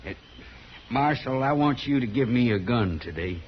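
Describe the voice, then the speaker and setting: A man's voice: medium pitch, expressive, normal speed, natural voice. An actor playing a surly, impatient and resentful frontier man with an American Western accent in a 1950s radio drama. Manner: sour, complaining. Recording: dull 1950s radio recording, faint noise.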